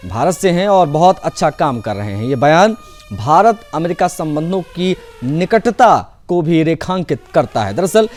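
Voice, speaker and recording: A man's voice: on the high side, darkish tone. A man presenting scripted news as narration in a formal, emphatic manner.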